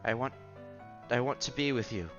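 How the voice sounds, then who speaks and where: A man's voice: mid-range voice, moderate pace, highs cut off. A man streaming a visual novel, reading the text aloud with casual commentary and stumbling over words now and then. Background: game music.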